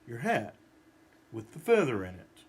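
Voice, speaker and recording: A man voicing a patient pony who gently coaxes and suggests things in a storybook read-aloud. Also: mid-range voice, wildly swooping pitch, measured pace, breathy edge, pitched below the performer's own voice, full character voice. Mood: patient coaxing. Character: mild, coaxing pony who turns melodramatically wounded.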